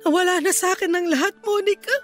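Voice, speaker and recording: Radio drama older sister: very high voice, Filipino, regretful, pleading, tearful. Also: female voice, fast talker, soft background music. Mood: dejected.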